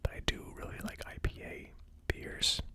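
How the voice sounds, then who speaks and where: A person giving a casual ASMR tasting review as host, speaking informally and a little tentatively. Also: normal speed. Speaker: host of a whiskey tasting series.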